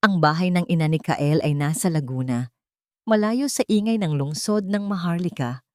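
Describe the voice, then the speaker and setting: A woman from the Philippines narrating a romance audiobook in a gentle, measured storytelling manner. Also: lowish voice, no echo, clean studio sound.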